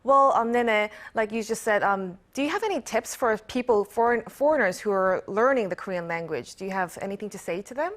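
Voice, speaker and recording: Young female host, friendly, bright questioning. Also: mid-range voice, lively intonation, fairly quick, few pauses. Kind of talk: broadcast interview hosting.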